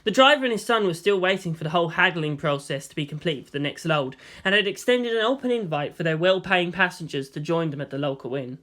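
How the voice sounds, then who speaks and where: Narrator: man with a lightly high voice, delivering prose fiction as a scripted read. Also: expressive, fast talker, few pauses, fairly bright tone.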